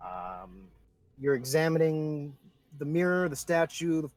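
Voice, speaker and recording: A man's voice: a little high, sing-song, a little slow, a little hiss or hum. A man narrating a tabletop roleplaying game as game master, informally describing scenes and questioning the players.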